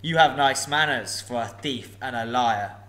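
A man voicing a sly, menacing old male dragon, slow and mocking. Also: mid-range voice, a bit bright, natural voice. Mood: sneering.

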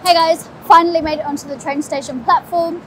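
A woman chatting to camera in a relaxed travel vlog from a station platform. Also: very high-pitched, fairly quick. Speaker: travel vlogger. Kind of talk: casual vlog talk to camera. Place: busy station platform, outdoors. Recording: station noise around the voice.

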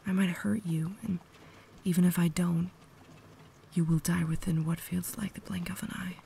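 A woman voicing a cultured, caring, tormented vampire lady in a breathy whisper, with formal, measured diction for an ASMR roleplay. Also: on the low side, steady tone, warm tone, a little hiss or hum. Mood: sorrowful, caring.

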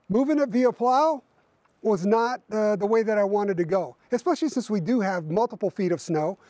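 Higher-voiced man explaining his tractor. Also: no room sound. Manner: relaxed and explanatory, with frequent fillers and restarts. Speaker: homestead owner reviewing his tractor. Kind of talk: casual equipment review to camera. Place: outdoors by a barn in winter.

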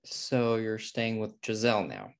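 A man's voice: on the low side, sing-song, measured pace, vocal fry, exaggerated voice. A man playing an uptight, square, grudging schoolteacher in a sitcom table read. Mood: curt. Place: home room on a video call.

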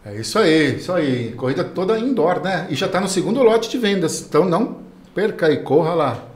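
A man's voice: on the high side, sing-song, a little fast, reverberant. A middle-aged man, presenting casually as a podcast co-host. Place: small podcast studio. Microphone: close podcast microphone on boom arm.

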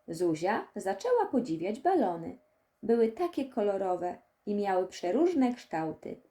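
A woman narrates a scripted story for young children. Her voice is mellow and sits at a middle pitch, and her delivery is expressive, with lively swings in intonation. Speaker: audiobook narrator.

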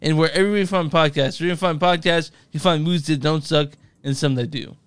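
A man's voice: on the high side, quick pace, clean tone. A man co-hosting a movie podcast, laid-back and joking as he plugs the show. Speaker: podcast co-host. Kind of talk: casual podcast banter.

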